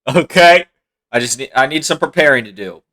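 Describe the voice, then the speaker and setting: A man's voice: medium pitch, expressive, fairly quick, pushed and bright. A man streaming, bantering casually and teasing with mock indignation.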